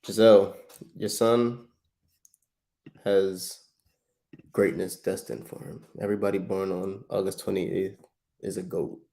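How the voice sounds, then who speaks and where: A man from Trinidad, hosting a livestream and making a relaxed, friendly sales call to a property owner, full of slang and quick restarts. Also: sits low, vocal fry. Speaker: real estate investor, livestream host. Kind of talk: casual livestream chat and sales phone call.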